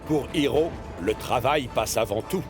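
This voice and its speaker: A man narrating a children's cartoon in a lively, expressive storytelling manner. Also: medium pitch, measured pace. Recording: narration over music.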